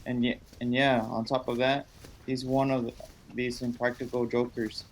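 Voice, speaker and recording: A man's voice: medium pitch, level tone, moderate pace, close to an everyday voice. A man playing a wry, wisecracking, resigned husband in a radio drama, with a sardonic, joking delivery.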